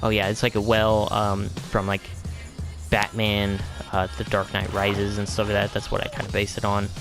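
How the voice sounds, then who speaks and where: A man's voice: on the low side, completely flat delivery, normal speed, music in the background. A man giving a casual, rambling game tour as video host, hesitant and often trailing off into vague asides.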